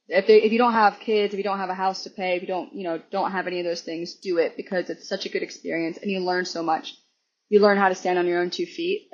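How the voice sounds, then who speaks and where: A hoarse woman guesting on a podcast, chatting casually and rambling with restarts and laughs. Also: fairly low pitch. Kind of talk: casual chat. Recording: dull lo-fi recording.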